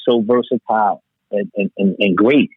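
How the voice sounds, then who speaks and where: A man's voice: fairly low pitch, fairly even intonation, normal speed, husky, dry recording. An American man in a casual podcast debate about music, speaking emphatically and repeating himself for stress. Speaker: podcast host discussing music. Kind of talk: casual hip hop debate. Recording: muffled narrow radio-like sound.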